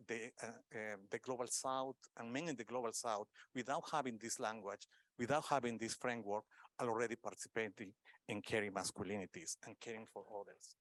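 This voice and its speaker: A middle-aged academic gives an unscripted panel talk at a conference. He halts often and fills gaps with hums while searching for the next word. His voice sits at an ordinary pitch for a man and sounds close and dry, with no room around it. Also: a bit bright, no echo. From Peru. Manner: hesitant, searching for words with many fillers.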